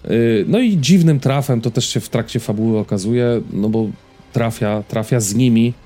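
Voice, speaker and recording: A man's voice: medium pitch, normal speed, dark tone, faint music behind. A man giving a casual podcast-style film review as a co-host, searching for words and checking in with his listener.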